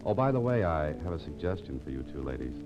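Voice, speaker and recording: An actor playing a calm, wry private investigator in 1940s radio style, speaking in a dry, understated manner. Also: male voice, very deep voice, fast. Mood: gentle, amused. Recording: dull 1940s radio recording, music behind.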